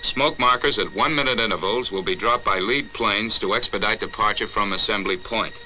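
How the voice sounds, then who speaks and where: A man's voice: on the low side, fairly quick, background noise. A man formally reading out military orders in a terse, procedural way, on a vintage 1940s film soundtrack. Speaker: military briefing narrator.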